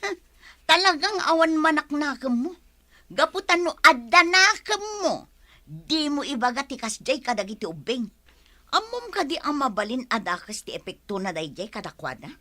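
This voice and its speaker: Embattled mother, bright voice. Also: sits high, sing-song, normal speed, full character voice. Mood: indignant. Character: embattled wife and mother, quarrelsome yet forgiving. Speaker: radio drama actress. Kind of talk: Philippine radio drama acting.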